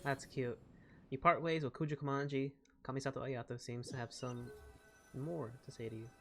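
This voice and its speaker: A man's voice: mid-range voice, steady tone, moderate pace, soft background music. A man narrating his game playthrough in a casual, hesitant, off-the-cuff way. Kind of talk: casual commentary with some on-screen text read aloud.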